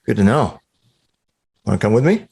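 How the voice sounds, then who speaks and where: A man's voice: lowish voice, big pitch swings, moderate pace, vocal fry, dark tone, slightly rough, heavily acted. An actor playing an exasperated young-sounding male video game player in a comedy table read. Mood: dismissive.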